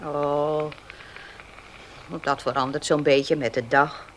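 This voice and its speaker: A woman's voice: low voice, fairly even intonation, slow. An actress playing a loyal, deferential but protesting female servant in a Dutch radio play. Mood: guarded. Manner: earnest, deferential, defensive. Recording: faintly hissy old radio play.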